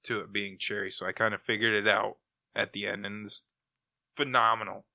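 A man's voice: fairly low pitch, little pitch movement, fairly quick, gravelly, pushed and bright, no echo. A man chatting casually as a podcast co-host. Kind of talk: casual chat.

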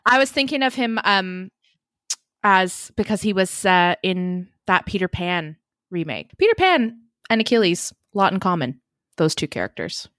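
A woman's voice: medium pitch, sing-song, moderate pace, fairly bright tone, no echo, studio quality. A woman in a casual panel chat, informal and thinking aloud as she talks.

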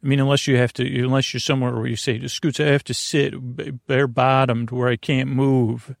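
Male sleep-podcast host: creaky, gravelly delivery, dry close sound, lulling and goofy, wandering off on halting tangents. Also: medium pitch, level tone, no echo. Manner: meandering, halting, full of tangents. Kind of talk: rambling bedtime sleep-podcast monologue.

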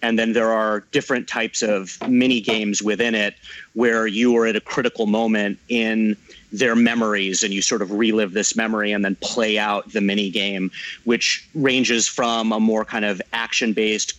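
Guest, male, lowish voice, measured explainer with lots of ums. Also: level tone, a bit bright, low-quality recording. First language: English. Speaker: game translator guest. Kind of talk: casual interview conversation.

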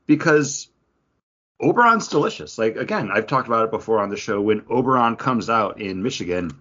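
A man's voice: lowish voice, expressive, fairly quick, sharp tone. Guy cohosting a podcast, dull lofi sound, chatty review, kinda hesitant and fixes his words as he goes. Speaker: podcast co-host. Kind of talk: casual beer review chat.

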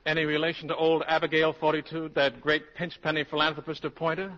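An actor playing a brisk, self-assured male English professor with dry wit and articulate, formal delivery, in 1940s radio style. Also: a little high, little pitch movement, close to an everyday voice. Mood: probing, flustered. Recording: dull, noisy 1940s radio broadcast.

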